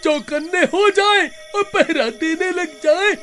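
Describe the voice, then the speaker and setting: A man voicing a scheming, excitable male villager in a Hindi cartoon, urgent and conspiratorial. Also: very high-pitched, takes it slowly, slight background noise, exaggerated voice. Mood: worried, urgent. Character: scheming, excitable male villager, bald revenge-seeker's sidekick.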